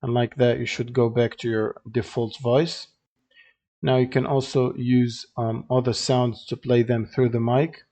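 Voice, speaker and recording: A man narrating a casual step-by-step software tutorial as its host, in a halting, hesitant way with frequent pauses. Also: mid-range voice, level tone.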